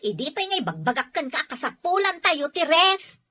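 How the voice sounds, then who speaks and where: Shrill, nagging discontented wife. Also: female voice, big pitch swings, normal speed, full character voice. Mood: irritated. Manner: complaining, sharp-tongued. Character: nagging, discontented wife demanding household goods. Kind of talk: radio drama acting.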